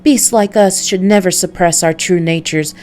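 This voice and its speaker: A woman's voice: fairly low pitch, moderate pace, a little mellow, a little hiss or hum, close to an everyday voice. A woman voicing a seductive, sly succubus from a visual novel, theatrical and taunting, with coolly menacing words. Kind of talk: visual novel character read aloud.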